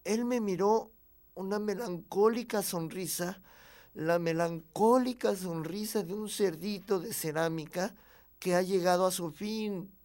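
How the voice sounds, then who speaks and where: A young Mexican boy telling his story in a high voice. He is expressive and confiding, tender toward what he loves, in the manner of dramatic radio storytelling. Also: unhurried. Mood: tender, melancholy. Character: young boy tenderly recalling his beloved piggy bank. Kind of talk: dramatic first-person storytelling.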